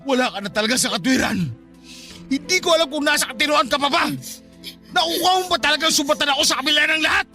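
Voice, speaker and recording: High-strained, anguished, furious father. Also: fast talker, soft background music, full character voice. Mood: furious, accusing. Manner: anguished, shouting, accusatory delivery. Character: grieving, furious working-class father blaming his wife. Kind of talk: melodramatic radio drama acting.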